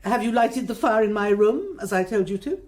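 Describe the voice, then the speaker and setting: A woman's voice: mid-range voice, lively intonation, fairly quick, a little mellow, lightly acted. A woman playing an imperious, snappish older English lady of the house in a radio drama, with an English accent. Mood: flustered, snappish.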